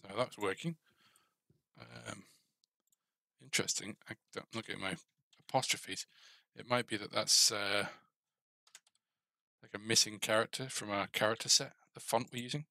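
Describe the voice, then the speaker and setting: Bright, gravelly man muttering over code. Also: on the low side, fairly even intonation, normal speed, no room sound. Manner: muttering to himself in fragments. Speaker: game developer streaming his coding. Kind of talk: casual think-aloud coding commentary. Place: indoor desk. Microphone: headset. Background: keyboard typing, clicks, faint music.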